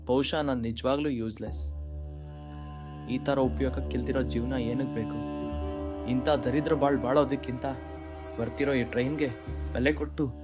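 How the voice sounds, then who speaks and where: A man's voice: mid-range voice, fairly even intonation, moderate pace, pauses often, close to an everyday voice. Man playing an earnest young man, faint music behind. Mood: hurt, dejected. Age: young adult. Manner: earnest, reflective. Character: dejected, kind-hearted jobless young man. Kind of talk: dramatic monologue and dialogue in cartoon story.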